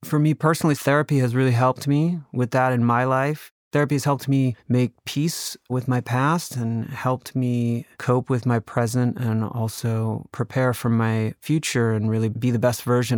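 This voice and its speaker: A man's voice: medium pitch, a little mellow, no echo, clean studio sound. A man who created the show, reading a casual, personal sponsor message in a sincere, conversational way. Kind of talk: casual, personal podcast ad read.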